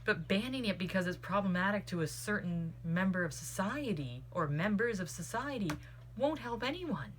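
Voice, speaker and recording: A woman's voice: medium pitch, lively intonation, moderate pace. A woman giving a casual spoken book review in an earnest, opinionated way, drifting into tangents. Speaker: book club host reviewing a book. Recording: clearly audible background noise.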